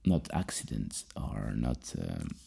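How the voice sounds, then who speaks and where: A person doing a soft-spoken ASMR roleplay as a psychologist, asking questions in a halting, rephrasing way with a breathy, husky whisper close to the microphone. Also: rumbling low, very animated. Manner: hesitant, repeats and rephrases questions.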